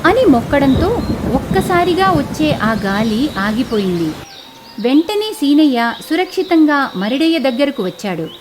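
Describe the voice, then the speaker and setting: A woman tells a scripted children's story in a fairly high voice. Her delivery is highly animated, with wide pitch movement, and faint noise and nature ambience sit underneath. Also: measured pace.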